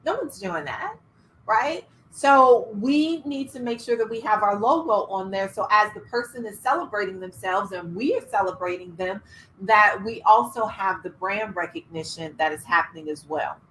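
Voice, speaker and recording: A middle-aged woman coaching entrepreneurs in an online workshop, energetic, laughing and asking the audience questions. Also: medium pitch, moderate pace, rough recording. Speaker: business coach. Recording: faint background noise.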